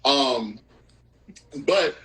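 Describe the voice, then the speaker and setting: A man's voice: medium pitch, lively intonation, extremely slow, a bit bright, a little hiss or hum. A male podcast host in casual, frank chat, laughing often as he talks.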